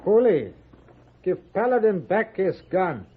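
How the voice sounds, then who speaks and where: Over a tinny, phone-like old radio recording, a frontier townsman taking charge. His voice is high for a man and husky, firm and cagey. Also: sing-song, measured pace, heavily acted. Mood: firm, commanding. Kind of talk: old-time radio Western acting.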